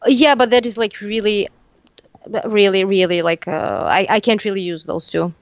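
A woman's voice: medium pitch, normal speed, no room sound, highs cut off. A woman whose first language is Serbian, a musician talking casually in an interview, hesitant with many fillers and restarts. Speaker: musician guest. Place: living in Tunisia.

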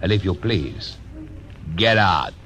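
An actor playing a boastful, excitable casino owner with a Spanish accent, in a 1940s radio drama. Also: male voice, deep voice, takes it slowly. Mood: florid,wheedling. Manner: theatrical, florid, swooping phrases.

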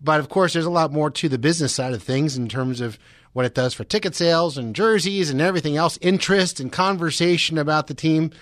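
A man's voice: fairly high pitch, brisk, a bit bright, dry recording. A man hosting a radio show, giving sports and weather updates in a conversational way. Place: radio studio.

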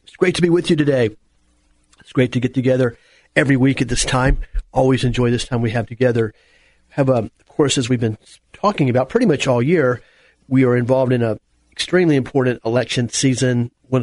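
A man hosting a radio talk show in a conversational, somewhat halting way, often rephrasing. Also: mid-range voice, a little mellow, no room sound.

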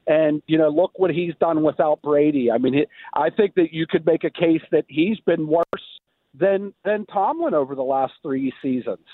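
An American man phoning a radio show with casual sports opinions, speaking in a halting way over a phone line. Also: on the high side, no room sound. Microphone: telephone. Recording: narrow tinny phone line.

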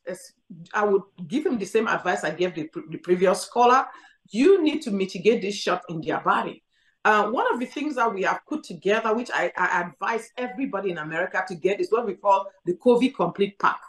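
A woman's voice: mid-range voice, echoey room, poor audio. A woman from Cameroon, a doctor answering callers emphatically and repeating her urgings. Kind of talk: call-in advice.

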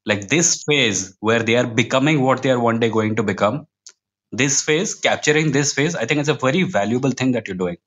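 A man's voice: medium pitch, a little fast, a bit bright. Male podcast guest with an Indian accent and a middle-register voice, talking informally. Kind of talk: casual podcast conversation.

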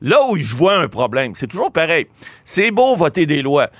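A man from Ottawa speaks as a legal guest on talk radio, pressing his points with emphatic, explanatory energy. His voice sits a little high and swings widely in pitch. The sound is dry and close. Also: big pitch swings, moderate pace, fairly bright tone, no echo. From Ottawa, Canada. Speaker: lawyer guest. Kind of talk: radio interview.

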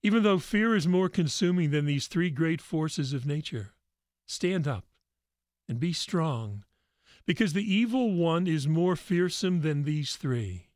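A man plays the divine Father speaking from within in a religious tale. He is solemn and measured, commanding yet reassuring, in a slightly high male voice with a very dry sound. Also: lively intonation, a little mellow, dry recording. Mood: stern, exhorting. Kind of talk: dramatic religious story reading.

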